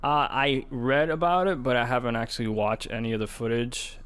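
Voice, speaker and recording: A man co-hosting a gaming podcast, chatting casually in a measured, skeptical way. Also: mid-range voice, steady tone, a bit bright, clean tone. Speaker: podcast co-host.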